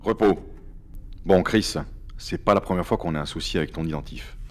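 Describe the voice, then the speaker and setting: An actor playing a stern, threatening army lieutenant in an audio drama, curt and intimidating. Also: male voice, low voice, normal speed, close to an everyday voice.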